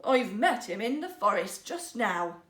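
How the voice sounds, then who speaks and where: A woman's voice: mid-range voice, big pitch swings, a little slow, a bit bright. A woman voicing a poor woodcutter husband in a fairytale, earnest, emphatic, teasing and easily riled. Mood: earnest, excited. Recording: roomy, echoing sound.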